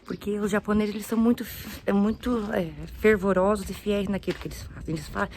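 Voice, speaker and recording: A missionary woman speaking earnestly in a halting, casual way, with many restarts, while she walks outdoors. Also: mid-range voice, steady tone, darkish tone, a little hiss or hum. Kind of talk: casual religious vlog talk. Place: outdoors, walking past houses and ruins.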